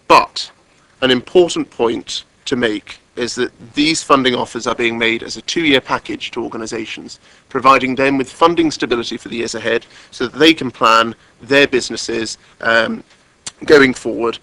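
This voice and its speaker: A man speaks as a councillor making a formal statement. His voice is bright and firm, and his delivery is measured and deliberate, repeating points for emphasis. The sound is close and dry, with little room echo. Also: medium pitch. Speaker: councillor, cabinet member.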